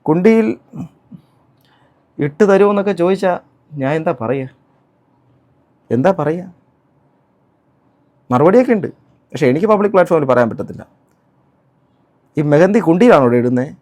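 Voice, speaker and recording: A man's voice: on the high side, expressive, normal speed, pauses often, a little mellow. A man giving spoken commentary on a clip, indignant and full of rhetorical questions. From Kerala, India. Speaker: reaction vlogger.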